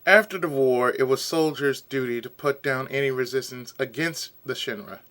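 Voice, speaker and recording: A man reading as a quiet young swordsman. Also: mid-range voice, moderate pace, close to an everyday voice. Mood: subdued. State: hoarse. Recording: game music playing behind.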